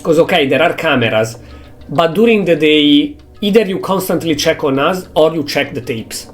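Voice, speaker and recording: A young man with an Italian accent, a native Italian speaker, thinking aloud in English with halting phrasing as he streams a game. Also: on the high side, animated, soft background music. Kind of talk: casual gameplay commentary.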